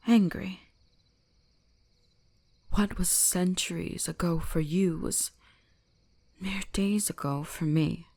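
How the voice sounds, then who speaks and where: A woman plays an ancient kitsune shrine maiden in an intimate ASMR roleplay. She speaks very slowly and breathily, often slipping into whispers, with a creaky edge. Her manner is soothing, possessive and gently commanding. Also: lowish voice. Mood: regretful, solemn.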